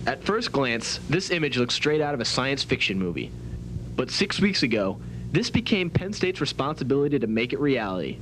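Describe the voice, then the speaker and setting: A man's voice: mid-range voice, big pitch swings, normal speed, fairly bright tone, a little hiss or hum, poor audio. A young man narrating a scripted student television news report. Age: young adult.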